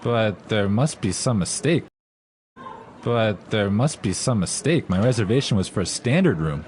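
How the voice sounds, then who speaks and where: A man reading a hotel guest's lines in a scripted English lesson dialogue, speaking clearly and carefully. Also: fairly low pitch, animated, normal speed, darkish tone, a little hiss or hum, close to an everyday voice. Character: male hotel guest checking in. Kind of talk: scripted role-play dialogue.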